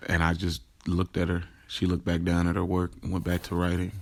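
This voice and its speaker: A man's voice: low voice, monotone, brisk, vocal fry. An American man playing a young, streetwise rideshare driver who tells his story in a slangy, hesitant, confessional audio drama narration. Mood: confiding, hesitant. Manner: conversational, slangy, hesitant self-corrections. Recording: music behind the voice. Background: music.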